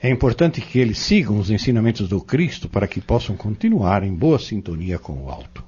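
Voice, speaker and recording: A Brazilian man acting in an audio novel as a patient, earnest Spiritist teacher, explaining doctrine in measured, emphatic phrases. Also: on the low side, big pitch swings, a little mellow, slight background noise. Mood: earnest, instructive. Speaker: Spiritist instructor in an audio drama. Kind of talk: didactic audio-novel dialogue. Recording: dull, lo-fi audio.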